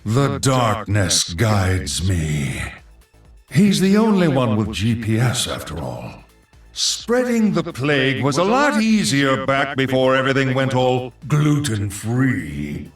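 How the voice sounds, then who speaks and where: A pompous undead archlich from a video game. He speaks slowly and menacingly, with grand swooping theatrical flourishes and sardonic dryness. The voice rings with a hollow echo. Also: mid-range voice, big pitch swings, far from the mic, faint music behind. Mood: sardonic, sinister. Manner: grandiose, theatrical, dry comic asides. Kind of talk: video game character voice lines.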